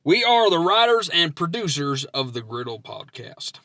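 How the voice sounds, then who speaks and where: A man with a high, bright voice hosts a comedy podcast in loose, casual banter. His delivery swoops around and rambles in a folksy, playfully naive way. The sound is close and dry. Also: big pitch swings, normal speed, dry recording.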